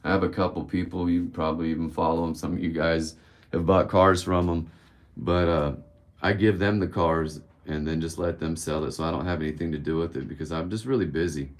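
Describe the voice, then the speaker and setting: Deep-voiced blunt man around fifty. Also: steady tone, fairly quick. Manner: candid and blunt, rambling, swears casually. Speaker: livestream host answering viewer questions. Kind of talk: casual live-stream Q&A.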